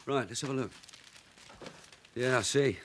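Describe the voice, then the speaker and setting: An actor playing a shrewd veteran detective sergeant, wry, businesslike and commanding, in a radio drama. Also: male voice, mid-range voice, measured pace, fairly bright tone, slight background noise, natural voice. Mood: wry, weary. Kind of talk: British radio drama acting.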